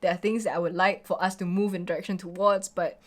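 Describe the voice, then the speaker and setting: Singaporean woman, 20s, vlogger; casual, opinionated home chat, slightly low voice. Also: fairly even intonation, fast talker. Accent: Singaporean English. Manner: chatty, opinionated, frequent "like" fillers. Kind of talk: casual get-ready-with-me chat.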